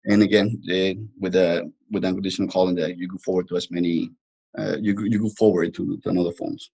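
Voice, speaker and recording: A man presenting an informal technical webinar as a product trainer, explaining haltingly with frequent restarts and repetitions. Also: low voice, little pitch movement, creaky, warm tone, slightly rough, low-quality recording.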